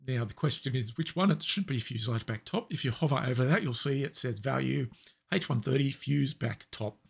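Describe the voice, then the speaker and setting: A man with a raspy voice explaining software steps in a relaxed, step-by-step tutorial. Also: mid-range voice, fairly quick. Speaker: tutorial presenter. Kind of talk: screen-recorded software tutorial.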